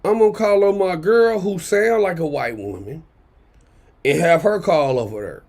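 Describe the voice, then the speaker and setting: A man hosting his own commentary show, his raspy baritone carrying a gravelly edge and sitting slightly higher than most. He talks bluntly and profanely, circling back and punctuating points with "okay?" as he rants to his viewers. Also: moderate pace, a little mellow. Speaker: commentary channel host. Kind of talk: casual commentary rant.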